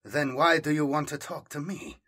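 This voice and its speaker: A man's voice: fairly high pitch, steady tone, moderate pace, bright, forceful tone, pitched above the performer's own voice. A man voicing an uneasy, resentful male scribe in an audiobook, with a defensive, snappish manner. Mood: questioning, willing. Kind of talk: audiobook character dialogue.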